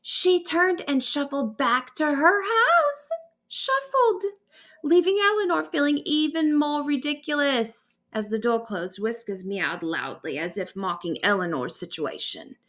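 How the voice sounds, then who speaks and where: A woman narrating a bedtime story aloud in an expressive storytelling manner. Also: high voice, unhurried. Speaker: author reading own stories aloud.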